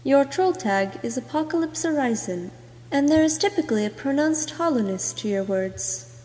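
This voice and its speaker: A woman's voice: high voice, lively intonation, normal speed, dark tone. A woman reading scripted second-person character narration, as an amateur voice actor making a reel. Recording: long hollow reverb.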